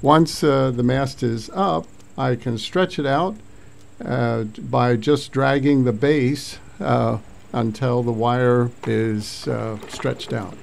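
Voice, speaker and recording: A man narrates an informal recap in an ordinary mid-range voice with a noticeable fry. His phrasing is hesitant and halting, like a hobbyist explaining his own project. Some ambient noise sits behind the voice. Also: medium pitch, fairly even intonation, darkish tone. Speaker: amateur radio hobbyist narrating a video.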